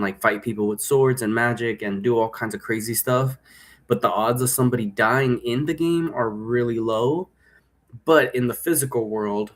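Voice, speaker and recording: A man explaining a role-play game in a casual, improvised, rambling way, often checking for agreement. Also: fairly low pitch. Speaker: game creator explaining rules.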